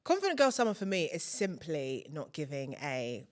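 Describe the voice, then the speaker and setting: A woman's voice: deep voice, wildly swooping pitch, normal speed. A woman in her thirties, a broadcaster and podcast guest, telling her story in candid, chatty talk full of "like". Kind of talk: casual chat.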